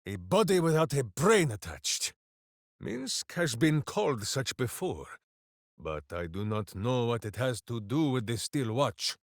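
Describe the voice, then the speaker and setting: An actor voicing a big, simple-minded, hearty berserker hero in a fantasy video game, declaiming with bombastic earnestness. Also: male voice, mid-range voice, big pitch swings, unhurried, no echo, clean studio sound. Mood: earnest, boastful. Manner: bombastic, earnest, grandly declaiming, speaks of himself by name. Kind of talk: theatrical fantasy video game character acting.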